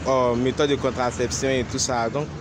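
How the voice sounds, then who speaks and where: Man, mid voice, halting explanation, street traffic noise. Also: mid-range voice, flat delivery. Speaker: passer-by. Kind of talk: street interview answers. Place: street outdoors. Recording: noisy street with cars.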